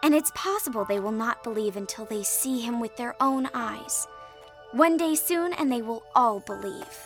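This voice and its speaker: An actress playing a young daughter, teasing yet earnest and steadfast, in a dramatized Bible story. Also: female voice, a little high, expressive, moderate pace, faint music behind.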